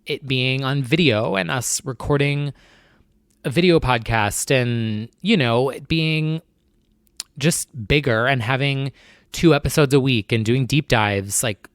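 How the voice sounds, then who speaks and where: A young man with a gravelly, creaky voice, chatting alone into a close mic about his nerves. He rambles and restarts with plenty of fillers, and the recording is dry and tight. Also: mid-range voice, measured pace, no room sound. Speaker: podcast host. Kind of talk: casual solo chat.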